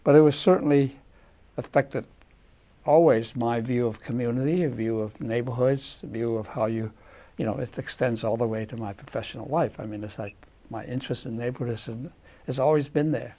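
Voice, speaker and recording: An older man speaking reflectively and haltingly in reminiscence. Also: medium pitch, mellow.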